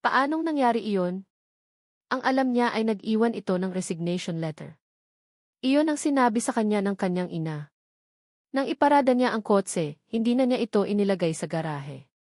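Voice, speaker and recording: A woman narrator reading a scripted romantic drama in a measured, melodramatic storytelling manner. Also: mid-range voice, expressive, darkish tone, no echo, clean studio sound.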